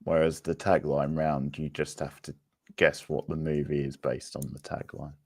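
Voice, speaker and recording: A man's voice: a bass voice, flat delivery, moderate pace, a little mellow, dry recording, clean studio sound. A man hosting a livestream quiz, chatting casually with viewers in a laid-back, conversational way. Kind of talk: casual live chat with viewers.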